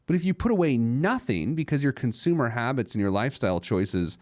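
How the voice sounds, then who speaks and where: A man's voice: medium pitch, animated, brisk, darkish tone, dry recording, studio quality. A man hosting a personal finance show in an informal, opinionated way, emphatic, repeating phrases for stress and stopping and restarting between rhetorical questions. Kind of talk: informal opinionated radio talk on money.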